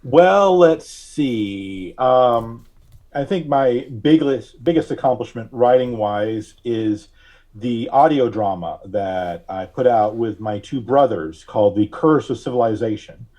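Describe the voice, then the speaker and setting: A man giving casual interview answers as a podcast guest, with hesitant, measured phrasing. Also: medium pitch, dark tone. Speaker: podcast guest, writer and filmmaker.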